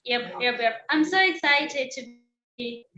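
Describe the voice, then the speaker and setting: A woman's voice: high voice, a little slow, pushed and bright. A woman joining a video call as a participant, eager and repeating her words. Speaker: session participant. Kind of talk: casual chat on a video call. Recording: muffled, echoey video-call audio.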